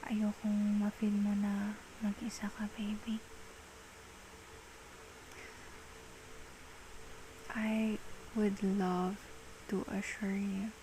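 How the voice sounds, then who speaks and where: A woman playing an affectionate, reassuring girlfriend in an ASMR roleplay, with a Filipino accent and soft, breathy speech that slips into whispers. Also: medium pitch, slight background noise, rough recording. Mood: tender, reassuring. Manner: slow, tender, mixes Tagalog with English. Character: affectionate, patient, reassuring young girlfriend soothing a partner.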